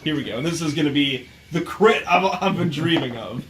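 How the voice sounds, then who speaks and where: A man's voice: fairly high pitch, expressive, fairly quick, a little hiss or hum, low-quality recording. A man playing a tabletop game, bantering casually in a jokey, mock-exasperated way and often laughing.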